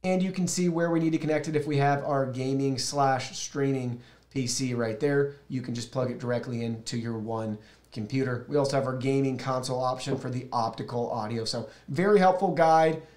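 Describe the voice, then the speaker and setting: A man's voice: medium pitch, brisk, darkish tone. A man in his thirties giving an upbeat, casual product walkthrough, explaining directly to viewers, recorded on a shotgun studio microphone. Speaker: product reviewer and host. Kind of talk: casual product overview and software tutorial. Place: small indoor studio. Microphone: shotgun studio microphone through an audio mixer.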